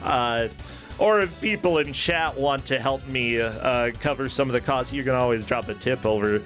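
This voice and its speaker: Guy hosting a stream, chatty n informal, normal pitch, bit of music in the back. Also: normal speed, fairly bright tone. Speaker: livestream show host. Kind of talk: casual livestream chat. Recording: music playing behind the voice. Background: background music.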